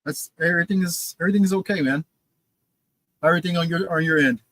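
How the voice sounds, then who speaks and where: A young man co-hosting a podcast in casual chat, enthusiastic and halting, with many fillers while reading news items. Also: a little high, a bit bright, no echo. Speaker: podcast co-host.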